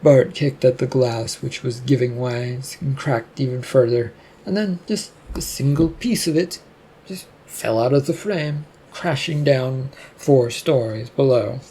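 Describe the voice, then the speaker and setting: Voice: medium pitch, measured pace, dark tone, a little hiss or hum. A narrator reading a scripted fiction story aloud, telling the action between lines of character dialogue.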